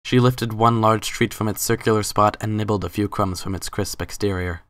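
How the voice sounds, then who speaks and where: A man delivers dramatic, scripted story narration. His voice sits low, and the sound is dry with no room around it. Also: steady tone, moderate pace, a bit bright, no echo.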